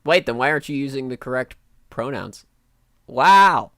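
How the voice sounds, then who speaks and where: A man's voice: mid-range voice, animated, normal speed, fairly bright tone, no room sound, clean studio sound. A man with a nasal voice giving blunt, sarcastic and profane live reaction commentary as a streamer.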